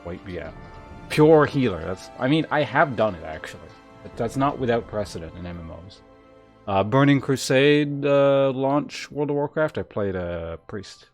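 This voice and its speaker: A man's voice: medium pitch, expressive, normal speed. A man streaming a game, chatting casually in a wry, rambling, conversational way as he comments. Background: game music.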